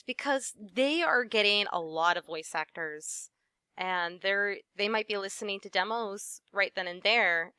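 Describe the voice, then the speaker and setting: A woman's voice: medium pitch, pushed and bright, clean tone, dry recording, clean studio sound. A young adult woman, a voice actress, chatting casually in an interview, with lots of fillers and easy laughs.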